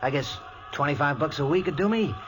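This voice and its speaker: Guy doing a cocky drifter, kinda high voice, noisy old recording. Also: animated, brisk, muffled recording, natural voice. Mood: breezy. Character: brash male drifter seeking handyman work. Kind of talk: 1940s radio acting. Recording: old radio recording with noise.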